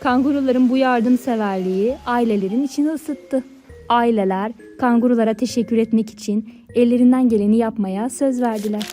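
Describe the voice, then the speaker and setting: A woman narrating a scripted fairy tale for children in a clear storybook manner. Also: a little high, fairly quick, warm tone. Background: background music.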